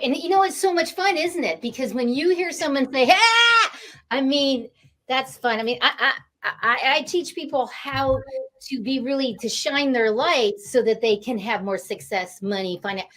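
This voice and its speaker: A woman's voice: on the high side, fairly bright tone. A woman hosting an online interview over a video call, gushing and enthusiastic, often repeating herself. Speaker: host. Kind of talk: upbeat online interview chat.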